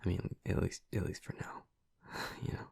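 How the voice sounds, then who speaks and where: A man playing a gentle, awkward, affectionate vampire in an intimate audio roleplay, speaking softly and breathily with hesitant, halting tenderness, close to the mic. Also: no room sound, whispered, close to an everyday voice. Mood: shy, tender. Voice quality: soft, breathy, husky. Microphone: close, dry microphone.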